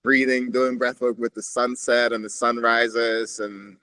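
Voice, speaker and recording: Male guest, even monotone, dry close sound, reflective rambling. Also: medium pitch, unhurried, no echo. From Switzerland. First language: Swiss German. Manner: reflective, meandering, with many restarts. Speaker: podcast guest, musician. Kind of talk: casual conversation.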